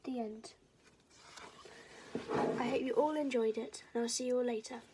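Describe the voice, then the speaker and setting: A woman's voice: fairly high pitch, fairly even intonation, moderate pace, background noise. A woman reading a children's story aloud as scripted storybook narration.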